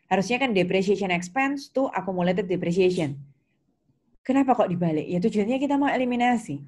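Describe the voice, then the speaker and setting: A woman's voice: medium pitch, expressive, brisk, a little mellow. A woman with an Indonesian accent lecturing step by step in a didactic way, posing questions and answering them herself, with English technical terms mixed in. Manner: mixes English technical terms into her speech. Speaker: accounting lecturer. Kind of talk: online lecture explaining step by step.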